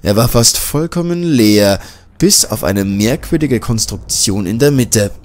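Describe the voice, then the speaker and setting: A man calmly narrating his own self-written horror story as an audiobook. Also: lowish voice, unhurried.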